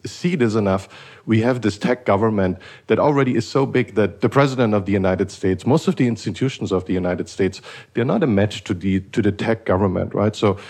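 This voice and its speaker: A man's voice: fairly low pitch, darkish tone, husky. A man from Eastern Germany hosting a podcast, talking things through in a thoughtful, rambling way with frequent restarts. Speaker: podcast host. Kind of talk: casual conversational podcast.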